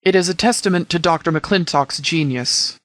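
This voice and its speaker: Guy voicing a stern wasteland sheriff for a game, kinda higher pitched, formal n measured, super dry close sound. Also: a bit bright, no room sound, lightly acted. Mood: grave, measured. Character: stern, principled sheriff of a post-apocalyptic settlement. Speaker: voice actor in a game mod. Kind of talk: scripted video game character dialogue.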